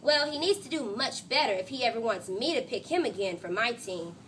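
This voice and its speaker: Storybook role: woman playing a cocky, impatient young girl, emphatic and bright, swinging pitch. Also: animated, fairly quick, slight background noise, poor audio. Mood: scornful. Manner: emphatic, boastful.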